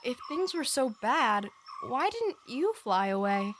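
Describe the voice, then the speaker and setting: A woman's voice: fairly high pitch, big pitch swings, unhurried, background noise. A woman playing a playful, defiant young boy adventurer in an audio drama, with a blunt, childlike manner.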